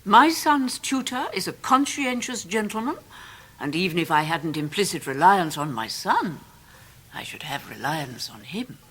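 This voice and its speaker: A woman's voice: on the low side, sing-song, measured pace, a bit bright, slightly rough, heavily acted. An actress playing a proud, stately elderly upper-class English lady and doting mother in a radio drama, formal and imperious. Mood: proud, firm. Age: older. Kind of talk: 1990s radio drama acting.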